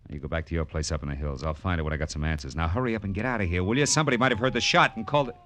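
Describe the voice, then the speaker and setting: A man's voice: sits low, very fast, fairly bright tone. An American man playing a tough, world-weary private detective in an old radio noir drama, clipped and slangy, narrating and talking bluntly. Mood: urgent. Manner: clipped, hard-boiled, slangy. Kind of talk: 1940s-50s radio noir acting and first-person narration. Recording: vintage radio recording with music behind.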